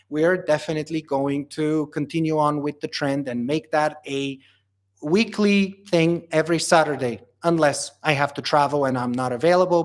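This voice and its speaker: Male founder, slightly high voice, relaxed livestream Q&A host, filler-heavy answers. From Bulgaria. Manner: casual, reads questions aloud then answers with many fillers. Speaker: company founder hosting a livestream Q&A. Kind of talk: reading out and answering viewer questions.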